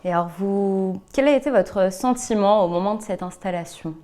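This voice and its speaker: A woman with a mid-pitched voice interviews a guest in an informal register. She builds her questions loosely, with little tag words and pauses along the way. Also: mellow. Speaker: interview host. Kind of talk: conversational interviewing.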